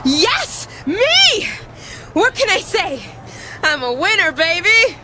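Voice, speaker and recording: A woman's voice: extremely high pitch, big pitch swings, slow, sharp tone, slight background noise, full character voice. A woman playing a brash, gloating rival wrestler in video game dialogue, cocky and smug.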